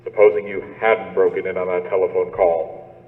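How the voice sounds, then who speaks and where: A man's voice: low-pitched, little pitch movement, normal speed. A man playing a dismissive police desk sergeant in a suspense radio play, patronizing and stolid, heard through a telephone filter. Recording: tinny phone-like band, echoey hall.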